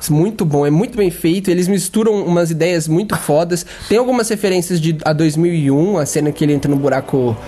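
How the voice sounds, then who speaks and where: A man's voice: on the high side, mellow. A man with a Brazilian Portuguese accent co-hosting a casual film review chat, teasing his partner. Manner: teasing, quick-talking. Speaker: podcast co-host.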